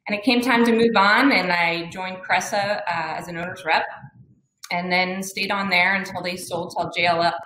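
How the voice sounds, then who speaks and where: A woman who owns a business introducing herself on a video-call panel, steady and matter-of-fact. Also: lowish voice, normal speed. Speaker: project management business owner. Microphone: webcam on video call. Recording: echoey room over video call.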